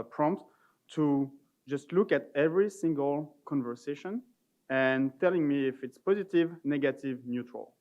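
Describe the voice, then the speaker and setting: A man giving an informal, somewhat halting live product demo as a conference presenter. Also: mid-range voice, lo-fi sound.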